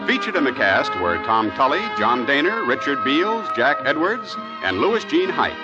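A man's voice: medium pitch, very animated, moderate pace, over loud music. An American man announcing on 1950s radio in a polished, emphatic sales style. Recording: dull old radio broadcast recording.

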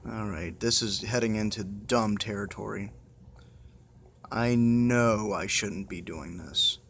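A man's voice: on the low side, slow pace, fairly bright tone. Exasperated man gaming on noisy phone recording. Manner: muttering, exasperated, heavy swearing. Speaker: gamer playing a horror game. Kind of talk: casual let's-play commentary.

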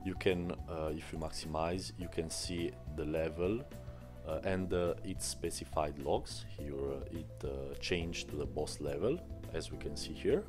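A man explaining his bot software in a screen-recorded tutorial, speaking haltingly with many fillers. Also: rumbling low, music in the background. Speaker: bot developer demonstrating software.

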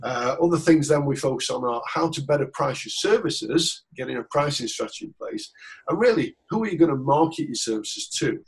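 Gravelly man hosting a webinar. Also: mid-range voice, lively intonation, fairly quick. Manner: chatty, loose and informal, with fillers and restarts. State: recovering from a cold. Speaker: webinar host and coach. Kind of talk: webinar interview. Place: small office with posters. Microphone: video call.

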